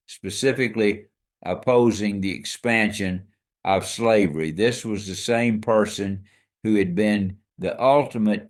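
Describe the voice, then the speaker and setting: A man co-hosting a scripted history podcast, explaining facts in an emphatic, storytelling way. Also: fairly low pitch. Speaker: co-host.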